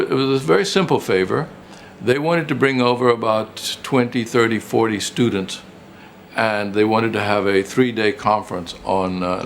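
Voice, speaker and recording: A man's voice: lowish voice, creaky, slight background noise. An older man, a retired professor and writer, reminiscing casually in an interview, rambling and repeating phrases for emphasis.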